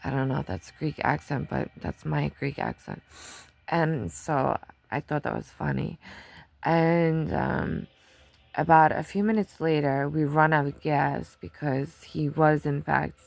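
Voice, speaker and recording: A woman's voice: low-pitched, unhurried, vocal fry, a little mellow. A woman past her twenties giving a casual monthly tarot reading, chatty and rambling, laughing often as she tells stories. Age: 30s or older. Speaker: tarot reader.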